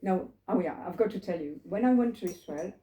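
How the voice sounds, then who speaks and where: A woman's voice: on the low side, lively intonation, fairly quick, dull audio, slight background noise. An elderly woman from France, speaking English, telling her life story to a small group in a reflective, digressive way and asking them questions. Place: living room. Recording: roomy living room.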